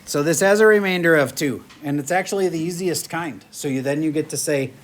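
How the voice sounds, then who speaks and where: A man's voice: on the high side, a little fast. A male math teacher lecturing a classroom in a chatty, joking way while losing his voice. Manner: chatty, jokey, moves around the room.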